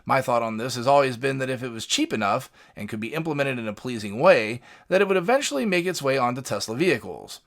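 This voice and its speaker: A man's voice: medium pitch, expressive, fast, vocal fry, few pauses, fairly bright tone. A man hosting a podcast, delivering scripted commentary in a narrating style. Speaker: podcast host.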